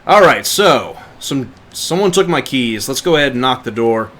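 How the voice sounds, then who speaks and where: A man giving informal live commentary on a video game, reacting out loud as he plays. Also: medium pitch, expressive, moderate pace. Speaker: game livestreamer. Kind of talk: casual gameplay commentary.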